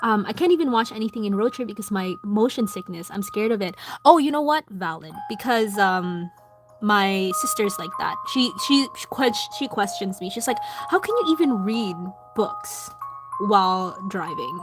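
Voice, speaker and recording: A young woman with a Filipino accent chatting casually with her livestream viewers while drawing, soft-spoken with lots of ums. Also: mid-range voice, lively intonation, moderate pace. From Philippines. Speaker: livestream host. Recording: background music. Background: music.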